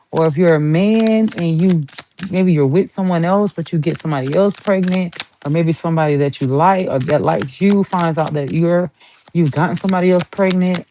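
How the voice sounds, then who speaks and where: A woman's voice: fairly low pitch, dark tone, slight background noise. A woman with a Southern US accent giving a chatty, rambling tarot reading, playful and talkative as she pulls cards. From Southern United States. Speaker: tarot reader.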